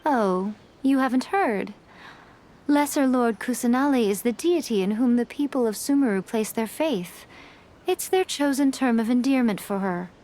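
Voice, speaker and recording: A woman's voice: a little high, animated, normal speed, dark tone, slight background noise, natural voice. Woman voicing a sly teasing fox spirit. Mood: calm. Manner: poised, teasing, elegant. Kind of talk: game dialogue.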